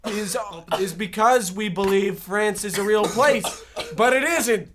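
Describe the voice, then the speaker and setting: Male actor as scheming young serial hero, mock-earnest, extremely high pitch, old-time radio spoof. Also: music in the background. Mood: confident. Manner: mock-earnest, halting exposition. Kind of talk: hobby old-time radio parody acting.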